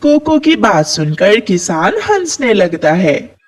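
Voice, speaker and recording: A woman's voice: medium pitch, very animated, slow. A woman reading a scripted children's story as the narrator.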